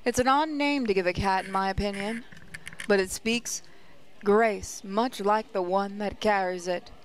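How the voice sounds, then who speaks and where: A woman's voice: medium pitch, measured pace. A woman reading visual novel narration aloud in a reflective, storytelling way. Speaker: gaming channel host, reader.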